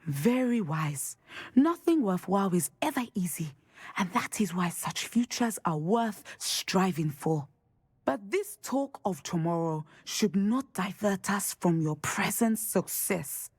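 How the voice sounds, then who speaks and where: A portentous divination professor in a fantasy game. Her voice is husky and closely dry, with lively rises and falls beneath a measured, formal, serene delivery. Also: medium pitch, dry recording. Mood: serene, approving. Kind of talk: video game character dialogue.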